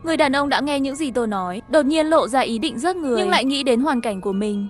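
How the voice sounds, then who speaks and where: Woman, high-pitched voice, scripted first-person story narration, background music. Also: expressive, quick pace. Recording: music behind the voice.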